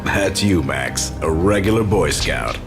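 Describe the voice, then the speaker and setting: A teasing police colleague in a video game. His voice is low and swings in a lively way as he casually ribs his friend. Music is clearly heard underneath. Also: unhurried. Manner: casual, ribbing.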